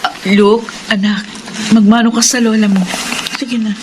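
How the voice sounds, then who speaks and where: A woman's voice: on the high side, level tone, normal speed, noisy background, natural voice. An actress playing a sorrowful young widowed mother in a radio drama, respectful and imploring. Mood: pleading.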